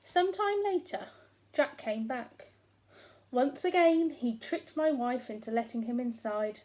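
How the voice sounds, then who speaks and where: Woman reading as a slow-talking, grumbling storybook giant. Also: high voice, animated, darkish tone. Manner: complaining, sarcastic, emphatic. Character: grumbling, self-pitying, hungry giant husband, huge and aggrieved. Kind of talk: first-person storybook narration read aloud.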